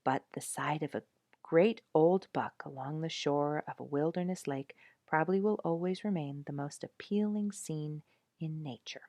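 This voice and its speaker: A woman reading an animal storybook aloud in an expressive, animated storytelling manner, as if for listeners following the pictures. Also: deep voice, moderate pace, no room sound, clean studio sound. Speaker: storybook reader.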